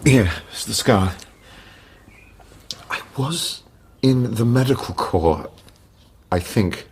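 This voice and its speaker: An actor playing a troubled, fearful amnesiac man in a radio drama, husky and halting with distress. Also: medium pitch, animated, darkish tone, slight background noise.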